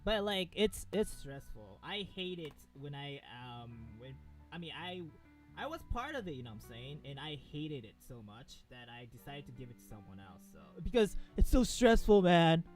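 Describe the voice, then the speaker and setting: A man's voice: a little high, sing-song, moderate pace, a little mellow, soft background music. A man co-commentating on a let's play in a loose, slangy, conversational way. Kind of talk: casual chat.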